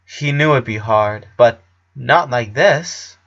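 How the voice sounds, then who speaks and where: A man's voice: medium pitch, expressive, slow pace, vocal fry, a bit bright. A man narrating a story expressively, on a slightly dull, lo-fi recording.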